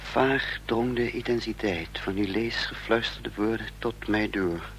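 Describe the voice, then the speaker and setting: An actor playing a troubled man caught up in intrigue, narrating his own story with measured drama in a radio play. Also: on the low side, fairly bright tone. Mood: grave. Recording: faintly noisy, humming radio play recording.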